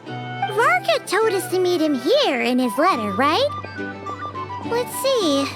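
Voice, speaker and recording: A very high, bouncy little fairy girl who chatters eagerly and swoops up and down as she talks. Her game dialogue sits over music. She sounds like a playful animated sidekick. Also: slow pace, exaggerated voice. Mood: chatty. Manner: eager, chattering, refers to herself by name. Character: tiny floating fairy girl companion, chatty and curious. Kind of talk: game voice acting.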